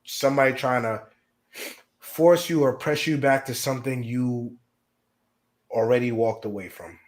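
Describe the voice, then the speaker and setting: Man, discussion guest, calm and encouraging, mid-pitched everyday voice. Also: unhurried. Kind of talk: advice-giving discussion.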